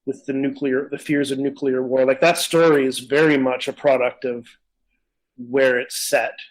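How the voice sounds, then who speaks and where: A man's voice: medium pitch, little pitch movement, normal speed, low-quality recording. A man co-hosting a podcast, talking casually in a rambling, opinionated way. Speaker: podcast co-host. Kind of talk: casual conversational discussion.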